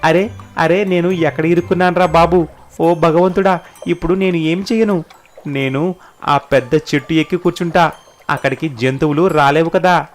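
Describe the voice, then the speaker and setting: A man acts out a timid, hungry beggar from a cartoon moral tale. His voice sits a little high and has a pleading, deferential manner. Soft music plays behind him. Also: steady tone, normal speed, natural voice. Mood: frightened. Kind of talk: animated moral story dialogue.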